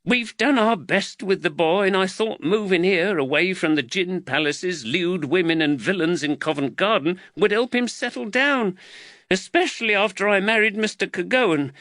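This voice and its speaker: A man voicing an angry, exasperated working-class mother in a shrill, scolding way for a storybook. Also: moderate pace, fairly bright tone, no room sound, studio quality. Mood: anguished. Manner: scolding, emphatic.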